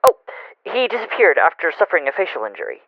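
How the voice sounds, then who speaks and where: A man's voice: a little high, wildly swooping pitch, fast talker, dry recording, exaggerated voice. A man playing an anxious, rattled coworker on the phone in an audio drama, stammering nervously through a phone filter. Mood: uneasy. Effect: phone or radio filter. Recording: muffled, band-limited phone call effect.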